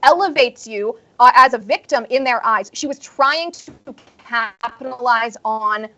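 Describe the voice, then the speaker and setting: Emphatic, higher-voiced woman from the Midwest. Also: a little slow, pushed and bright, highs cut off. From American Midwest. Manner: emphatic, builds an argument. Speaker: panelist. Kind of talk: opinionated talk show commentary.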